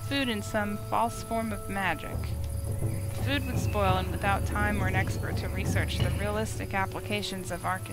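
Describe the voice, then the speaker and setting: Woman, narrator, mid-range voice, scripted visual novel storytelling, loud background music. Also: mid-range voice, steady tone, normal speed.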